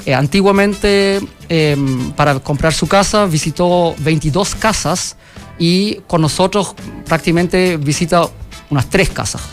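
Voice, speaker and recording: A man from Germany with a German accent, a company founder answering questions in a radio interview, halting and full of fillers and restarts. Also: on the high side, faint music behind. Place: radio studio.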